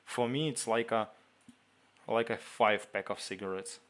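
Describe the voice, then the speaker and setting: Slow-talking man with a Ukrainian accent. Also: on the low side. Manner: reads viewer questions aloud, answers in halting English. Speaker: livestream host answering chat. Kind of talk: casual livestream Q&A.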